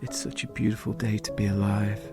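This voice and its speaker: A man voicing a serene male meditation leader, gentle, measured and reverent, in an audio story. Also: lowish voice, flat delivery, gravelly, over music, pitched above the performer's own voice. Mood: serene welcoming.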